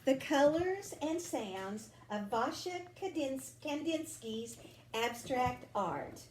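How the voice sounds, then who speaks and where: A woman reading a children's picture book aloud expressively, drawing out words with deliberate, dramatic phrasing. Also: high voice, animated, a little mellow. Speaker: storybook reader. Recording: roomy home recording with faint noise.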